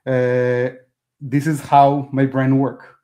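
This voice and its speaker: A man whose first language is Hebrew, a guest talking casually in an interview, halting and restarting as he speaks in non-native phrasing. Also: mid-range voice, little pitch movement, mellow. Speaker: podcast guest.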